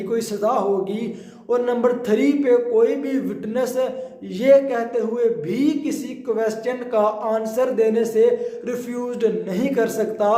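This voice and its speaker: Pakistani guy explaining stuff like a teacher, really slow, mellow tone, mixes english terms, roomy echo. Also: high-pitched. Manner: repeats and rephrases, switches into English terms. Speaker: law teacher. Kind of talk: explanatory lecture, informal. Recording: roomy, echoing sound.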